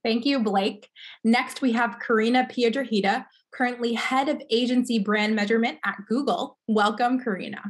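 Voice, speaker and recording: A young woman moderates an online panel with a prepared hosting delivery. Her voice sits a little high for a woman. She speaks from indoors on a video call. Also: normal speed.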